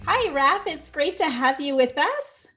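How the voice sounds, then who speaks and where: A woman's voice: high voice, very animated, a little slow, sharp tone, soft background music. Chatty woman podcast host. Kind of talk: conversational podcast interview.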